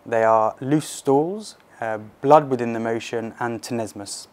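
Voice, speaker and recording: A British man in his thirties speaking as a consultant surgeon, explaining things to doctors in a measured, clinical interview manner. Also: mid-range voice.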